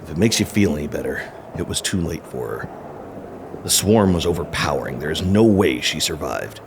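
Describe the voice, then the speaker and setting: An actor playing a grizzled, curt, short-tempered survivalist who swears hard in a horror audio drama. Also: male voice, a bass voice, lively intonation, normal speed, background noise. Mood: gruff, consoling.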